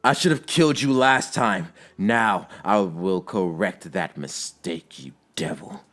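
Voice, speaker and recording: A man's voice: sits low, takes it slowly, fairly bright tone. A man voicing a stern, grave old village leader in an anime-style story. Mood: wrathful.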